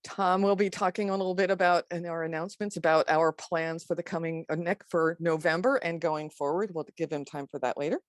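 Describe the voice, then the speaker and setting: A woman hosting an online meetup, giving announcements in a halting way and sometimes stumbling over words. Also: lowish voice, fairly even intonation, dry recording, clean studio sound. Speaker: meetup host. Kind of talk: housekeeping announcements to an online meeting. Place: indoors, on a video call.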